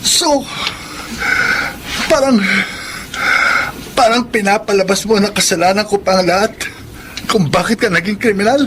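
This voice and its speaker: An actor playing an emotional, easily hurt young adult son in a radio drama, with a Filipino accent and a direct manner. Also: male voice, high voice, normal speed, pauses often, noisy background, close to an everyday voice. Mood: bitter, hurt.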